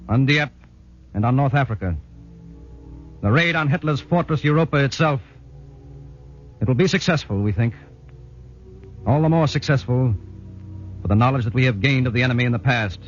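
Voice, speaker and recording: A man's voice: medium pitch, natural voice. Guy acting as british ww2 commando telling his war story, serious and dramatic, old muffled radio drama w/ music. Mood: resolute. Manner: solemn, dramatic, measured delivery. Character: British commando veteran recounting a daring raid. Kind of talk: 1940s radio drama narration. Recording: dull 1940s radio recording with music.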